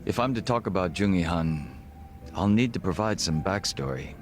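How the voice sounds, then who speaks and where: An actor playing a composed, formal gangster second-in-command in a video game cutscene, measured and reserved. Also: male voice, sits low, lively intonation, faint music behind. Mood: calm, formal.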